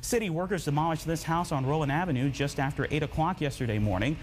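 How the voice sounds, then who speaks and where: A man's voice: on the high side, quick pace, slight background noise. A man with an American accent reading scripted news as an anchor.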